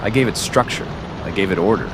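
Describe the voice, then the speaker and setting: Man playing a calm, menacing crime boss, low voice. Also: heavy background noise, lightly acted. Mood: grandiose. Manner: measured, unwavering, ominous. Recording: steady rain and city ambience behind.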